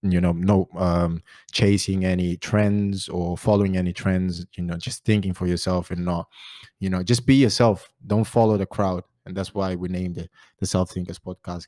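A man in his twenties from Portugal, speaking English with a Portuguese accent, hosting a relaxed podcast chat full of fillers. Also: sits low, normal speed, no echo, studio quality. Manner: relaxed, rambling, many fillers. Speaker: podcast host. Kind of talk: casual chat.

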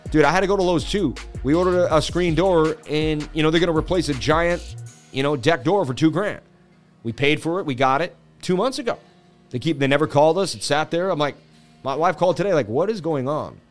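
A man's voice: fairly high pitch, fairly quick, soft background music. A man hosting a casual crypto live stream, rambling and laughing a lot.

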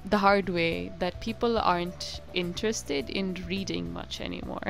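A woman's voice: fairly low pitch, steady tone, a little slow, gravelly, faint music behind. A young woman co-hosting a podcast in casual chat, giggly and rambling, breaking into playful rants. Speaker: podcast co-host.

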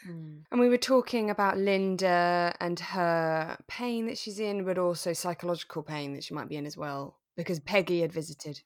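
A woman's voice: lowish voice, normal speed, hardly any pauses, no echo, studio quality. A woman co-hosting a podcast, chatting casually and informally about a radio drama. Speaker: podcast co-host.

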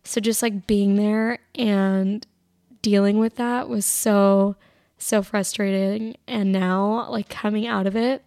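A young woman with a raspy voice hosting her own podcast, chatting casually and rambling with plenty of "like", tired as she recovers from being sick. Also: medium pitch, little pitch movement, slow pace, dark tone, no room sound, clean studio sound. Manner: rambling, filler-heavy, lots of "like". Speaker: podcast host. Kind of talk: casual solo podcast chat.

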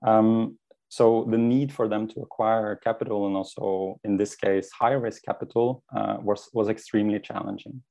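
A young man from Norway speaks English in a low, gravelly voice with a mellow, dark tone. He answers as an interview guest, measured and thoughtful, often doubling words as he gathers his thoughts. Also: fairly even intonation. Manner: thoughtful, often repeats words while finding phrasing. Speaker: guest, startup co-founder.

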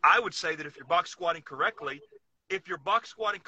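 A man's voice: high-pitched, fairly quick, bright, forceful tone. A man co-hosting a casual talk podcast, speaking in an emphatic, opinionated way and repeating himself to drive points home. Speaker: co-host, powerlifting coach.

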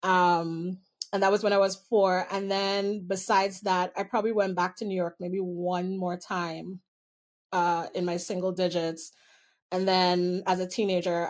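Woman with a Trinidadian accent. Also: lowish voice. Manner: candid, amused, stretches key words. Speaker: guest. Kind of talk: personal storytelling in an interview.

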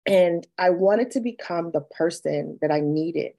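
A woman's voice: on the low side, unhurried, vocal fry, warm tone. A woman from Barbados, a therapist and coach, speaking reflectively about personal matters as an interview guest.

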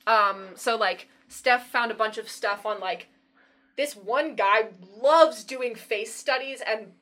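A young woman co-hosting a casual podcast chat, rambling with lots of fillers and quick laughs. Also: on the high side, sharp tone. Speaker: podcast co-host.

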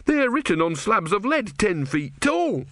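A man's voice: a little high, sing-song, normal speed, a bit bright, dry recording, studio quality, pitched above the performer's own voice. A man voicing an earnest, devout young believer who is insistent and easily outraged, in a storybook reading. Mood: shocked, angry. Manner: insistent, indignant, pious.

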